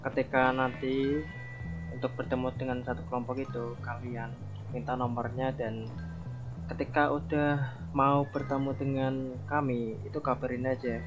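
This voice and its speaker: A man's voice: mid-range voice, flat delivery, moderate pace, long pauses, lightly acted. A man roleplaying a practical mafia member in an online game, giving casual, direct instructions. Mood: direct, instructing. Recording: muffled game voice chat with music.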